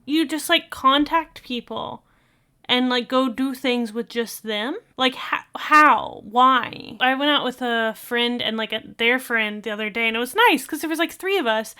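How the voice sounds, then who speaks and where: A woman with a bright, fairly high and very clean voice talks casually over a video she is reacting to. She rambles comfortably, leaning on filler words as she shares her thoughts. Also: a little slow, clear, resonant tone. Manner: chatty and rambling, many fillers. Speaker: video creator.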